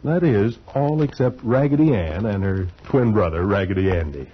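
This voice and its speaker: Deep, animated male radio announcer. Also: moderate pace. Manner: theatrical, promotional delivery. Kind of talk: scripted 1940s radio announcing. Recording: muffled 1940s radio with hiss.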